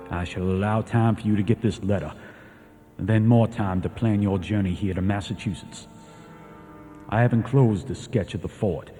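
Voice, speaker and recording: An actor playing a young imprisoned soldier in a radio drama, reading a letter to his wife in an earnest, measured, pleading way. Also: sits low, warm tone. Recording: long hall reverb, music underneath. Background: music.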